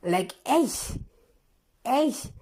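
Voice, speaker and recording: A young woman with a South African accent chatting casually, retelling stories in an animated, slangy way with exclamations and rhetorical questions. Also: fairly high pitch, very slow. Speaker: vlogger. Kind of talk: casual chatty storytelling commentary.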